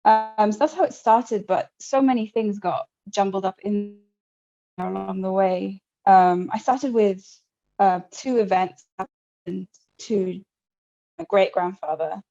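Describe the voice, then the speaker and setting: A woman author with a mellow, warm voice. She reads her prose in a measured way and answers in halting, thoughtful speech. The audio sounds dull and lo-fi. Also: mid-range voice, steady tone. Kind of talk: reading from her novel, then interview answers.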